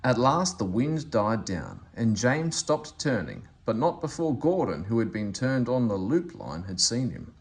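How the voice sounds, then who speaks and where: A man narrating a children's storybook aloud in an expressive, animated way. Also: mid-range voice, a little slow, gravelly, few pauses. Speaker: story reader.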